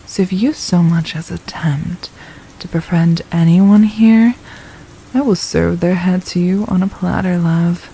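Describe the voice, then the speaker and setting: Villainess for ASMR roleplay, played by a woman: low, very mellow, possessive captor, softly menacing and mocking, faint rain behind. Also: slight background noise, poor audio. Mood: smug, threatening. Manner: menacing, mocking, silky and deliberate. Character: possessive, condescending female royal villain holding a captive. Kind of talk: ASMR roleplay, intimate scripted monologue.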